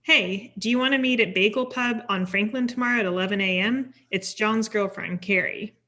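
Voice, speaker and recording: A woman narrates a screenplay at a table read. Her voice is bright and forceful at a medium pitch, and she delivers scene headings and actions as scripted narration. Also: moderate pace. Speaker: stage directions reader.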